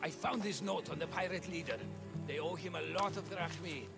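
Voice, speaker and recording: An actor playing a forthright, embattled town leader and fighter in a video game, speaking emphatically. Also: male voice, a little high, moderate pace, creaky, loud music behind the voice. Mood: grateful. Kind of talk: video game cutscene acting.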